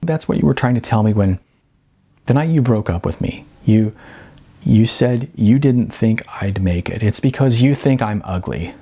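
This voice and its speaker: A male narrator voicing a wry, hurt young actress, slightly raised in pitch, with a husky voice. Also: lively intonation, normal speed, warm tone. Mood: exasperated. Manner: exasperated, sarcastic.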